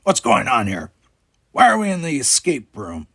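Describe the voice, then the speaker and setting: Man doing a gruff, barking alien henchman. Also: mid-range voice, huge pitch swings, moderate pace, fairly bright tone, exaggerated voice. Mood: suspicious, doubtful. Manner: barking orders.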